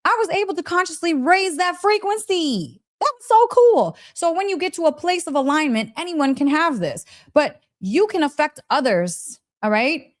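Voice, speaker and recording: A woman with a fairly high voice talks as a spiritual teacher on a casual live stream. She is gushing and emphatic, often repeating phrases for weight. She speaks straight to her listeners, checking in with little questions as she goes. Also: sing-song, normal speed, hardly any pauses. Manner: chatty, direct address with frequent check-in questions. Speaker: host of a live story session, spiritual teacher.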